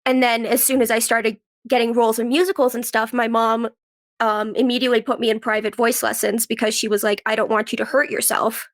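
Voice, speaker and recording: Female interview guest, slightly high register, informal conversational storyteller, dry sound. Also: flat delivery, a little fast, no room sound. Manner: chatty, informal, acts out quoted remarks. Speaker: podcast guest, actress and writer. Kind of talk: casual chat, personal storytelling.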